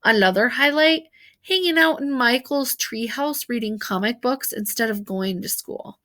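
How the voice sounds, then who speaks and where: A woman reading a children's novel aloud in an expressive, animated storytelling manner, with emphasis on key words. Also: fairly high pitch, moderate pace. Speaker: storybook reader.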